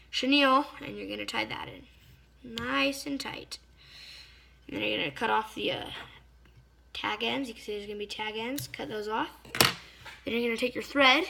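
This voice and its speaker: A slightly hoarse woman giving a casual hands-on fly-tying tutorial, chatty and often stopping to search for a word. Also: on the high side, pushed and bright. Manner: chatty, stops to search for words.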